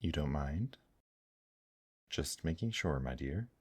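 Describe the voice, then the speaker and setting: Tender demon companion , male actor, husky and close-miked with a very dry sound, reassuring and protective, for intimate ASMR audio roleplay. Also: extremely low pitch, lively intonation, no echo, full character voice. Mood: soothing, tender. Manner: soft, tender, reassuring, unhurried.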